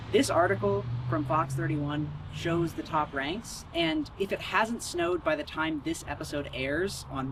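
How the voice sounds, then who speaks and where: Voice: medium pitch, background noise. A young student host narrating a scripted segment in an upbeat, explanatory way.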